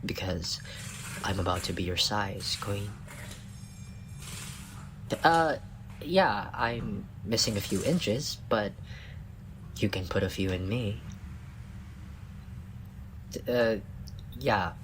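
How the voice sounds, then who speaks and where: A man's voice: on the low side, expressive, takes it slowly, pauses often, a bit bright, a little hiss or hum, rough recording. A man playing a tiny, cocky, bumbling scientist in a comedic audio roleplay, smug and flirtatious with a flustered stammer. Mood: crude, flirtatious.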